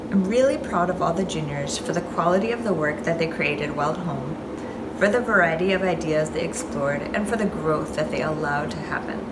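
Heavy background noise surrounds a woman in her thirties with a fairly low voice. She reads a prepared award speech, recorded by a phone a few feet away. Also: fairly quick. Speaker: award presenter. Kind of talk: scripted award speech read aloud. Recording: very noisy phone recording with ambience.

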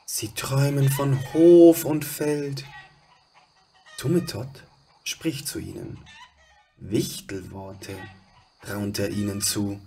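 A man narrating a children's story as storyteller, in a hushed, expressive manner. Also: mid-range voice, slow pace, long pauses, breathy edge.

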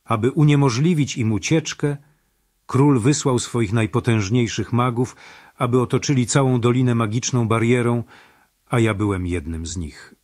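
A man's voice: medium pitch, moderate pace, a little mellow, dry recording, studio quality, close to an everyday voice. A man playing a powerful mage who narrates the history of a kingdom in an audio drama. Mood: solemn. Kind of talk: scripted fantasy prologue narration.

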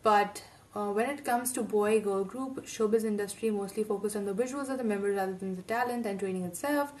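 A woman's voice: mid-range voice, a little fast, darkish tone, distant mic. A young woman from India, with English not her first language, giving outspoken, emphatic commentary in a reaction video recorded in her bedroom on an earphone mic. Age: young adult. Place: home bedroom, indoors.

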